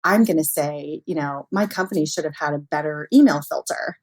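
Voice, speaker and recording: A woman with a low-pitched voice talks as an expert guest in an interview. She explains ideas conversationally, in informal language, often through everyday examples and quoted reactions. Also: fairly quick, a little mellow. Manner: frequently chuckles, informal, illustrates with acted-out quotes.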